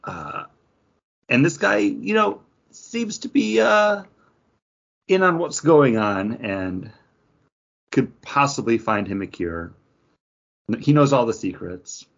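A man co-hosting a podcast, thinking aloud in a halting, casual chat about comic books. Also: mid-range voice, expressive, vocal fry, fairly bright tone. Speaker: podcast co-host. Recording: dull lo-fi podcast audio.

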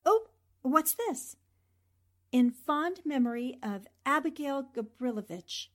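Casting: plucky young newspaperwoman sleuth, played by a woman, higher-pitched, flippant and arch, for 1940s-style radio drama. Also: expressive, slow pace, background noise. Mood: muttering, wry. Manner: talks to herself, flippant, arch.

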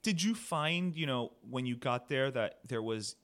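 A man's voice: mid-range voice, lively intonation, measured pace, fairly bright tone. Guy hosting a podcast, casual, kinda meandering questions. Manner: conversational, asks long winding questions. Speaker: podcast host. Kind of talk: casual interview conversation.

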